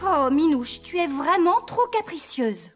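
A woman playing a brisk, kindly farmer in a children's cartoon, expressive and easily exasperated. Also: high voice, music in the background. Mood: coaxing, exasperated.

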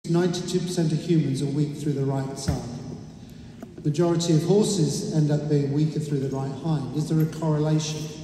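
A man's voice: on the high side, mellow, slight background noise. A man giving an explanatory talk at a convention, citing figures, in a large indoor arena. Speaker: convention speaker on rider fitness. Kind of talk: lecture to an audience. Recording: roomy hall reverb.

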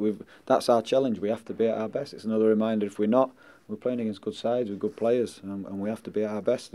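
Man in his forties, football manager, dark heavy voice, lowish, blunt post-match answers. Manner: blunt, measured, repeats "listen" and "um". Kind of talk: post-match press interview. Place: indoors in front of a sponsor board. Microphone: handheld mics held close.

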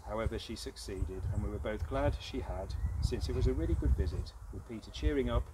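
Man reading a eulogy outdoors, loud traffic noise. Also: lowish voice, brisk. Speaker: family member reading a funeral tribute. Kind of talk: read eulogy. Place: outdoors in a churchyard. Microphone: camera a few metres away.

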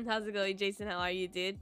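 A young woman streaming a game from her bedroom, hoarse from losing her voice, chatting and greeting viewers by name over a headset mic. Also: medium pitch. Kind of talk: casual game stream chat. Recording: loud game gunfire behind.

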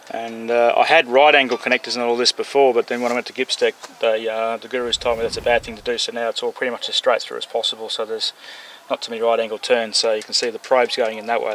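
Fast-talking Australian man, noisy outdoor recording. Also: medium pitch, fairly bright tone. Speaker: amateur radio operator demonstrating his gear. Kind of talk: informal outdoor demonstration and radio contact. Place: open grassy hillside outdoors. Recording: outdoor recording with clear background noise.

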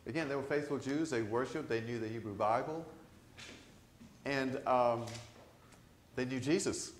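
An older man, a priest, preaching a conversational sermon to his congregation, animated and asking them questions. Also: medium pitch, slight background noise. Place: large church sanctuary. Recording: echoey church sanctuary.

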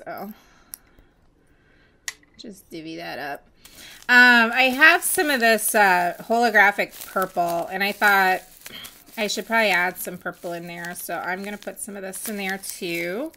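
A woman chatting casually while mixing glitter, her voice going hoarse as she loses it, with many pauses and fillers. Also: medium pitch, pushed and bright. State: losing her voice, hiccups. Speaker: nail art seller mixing glitter. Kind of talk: casual crafting voice-over.

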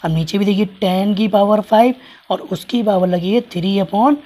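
A man teaching a maths lesson with a hoarse voice that is giving out. He works through each step patiently and keeps asking the class what comes next. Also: sits high, moderate pace, dark tone. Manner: patient, explains with repeated rhetorical questions. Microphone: hands writing on paper, camera over the page.

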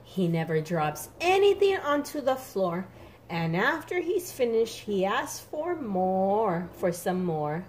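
A woman reading a picture book aloud to young children in an expressive, sing-song, emphatic way. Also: medium pitch, slow, slight background noise. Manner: animated, emphatic, sing-song with repeated words for small children.

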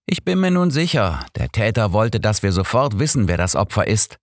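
An old master detective, voiced by a male narrator in a mystery audiobook, shrewd and insistent. He speaks with emphatic, deliberate stress, pitched up from narration, in a dry recording. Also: sing-song, no echo.

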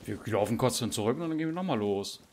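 A man's voice: mid-range voice, lively intonation, fast talker, darkish tone, slight background noise. A man chatting casually while playing a survival game, teasing himself and his teammate with frequent tag questions. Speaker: gamer streamer. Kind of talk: casual gameplay chat.

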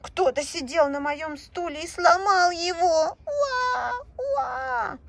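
A woman's voice: extremely high pitch, takes it slowly, vocal fry, distant mic, very noisy, pitched above the performer's own voice, exaggerated voice. A woman doing a tiny wailing bear cub. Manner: whiny, wailing. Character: small crying male bear cub.

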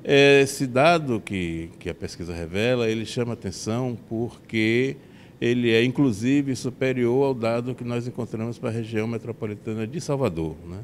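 A man's voice: medium pitch, moderate pace, slight background noise. A man, answering an interview on a handheld mic in a meeting room, hesitating and repeating words. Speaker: researcher interviewed. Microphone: handheld reporter mic.

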